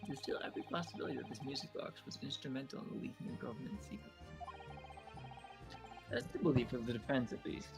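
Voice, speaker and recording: A hesitant young defense lawyer, as a player voices him on a stream. The voice has a gravelly edge and an animated pitch. Music plays clearly in the background. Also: fast talker. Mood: flustered. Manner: hesitant, stammering. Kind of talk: fan dub of a game livestream.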